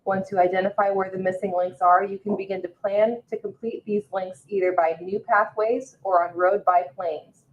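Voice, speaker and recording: A woman's voice: mid-range voice, fairly even intonation, normal speed, few pauses. An American woman reading a written public comment aloud at a town meeting. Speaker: town meeting staff member. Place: indoor public meeting room. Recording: dull, muffled meeting-room audio.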